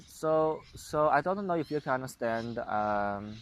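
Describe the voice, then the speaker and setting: A man's voice: mid-range voice, animated. Young male vlogger, Chinese accent, hesitant and unpolished, slight background hiss. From China. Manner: halting, many fillers and restarts. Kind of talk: casual monologue to camera.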